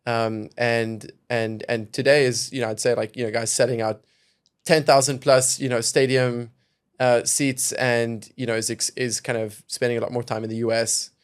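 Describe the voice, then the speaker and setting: Young guy from south africa, middle pitch, chill and thoughtful, lots of kind of and you know. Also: little pitch movement, moderate pace, clean tone. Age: young adult. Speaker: venture capital investor, podcast guest. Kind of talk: casual podcast conversation.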